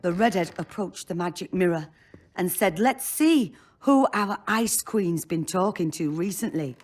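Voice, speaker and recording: Blunt, world-weary brothel madam. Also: female voice, lowish voice, expressive, unhurried, darkish tone. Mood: blunt, defensive. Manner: blunt, storytelling in short bursts.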